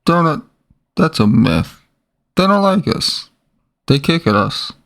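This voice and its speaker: Guy doing a pushy cartoon alley pigeon, creaky gravelly voice, blunt and folksy buddy type. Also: fairly high pitch, sing-song, slow, fairly bright tone, exaggerated voice. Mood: pushy, casual. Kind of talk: animated short character voice.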